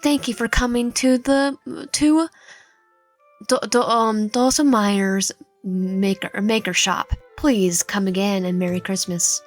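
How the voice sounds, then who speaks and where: A woman voicing a polite, busy young toy shop clerk in a visual novel, with a halting customer-service manner. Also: medium pitch, steady tone, lightly acted. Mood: polite, helpful. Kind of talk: visual novel character read aloud. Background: background music.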